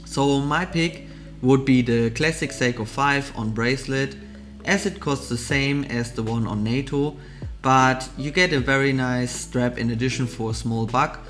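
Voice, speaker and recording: A man talks through watch picks in a scripted vlog voice-over at an everyday pitch. He explains each option with keen, explanatory enthusiasm. Soft background music sits under his voice. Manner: enthusiastic, explanatory product commentary. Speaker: vlogger, watch channel host.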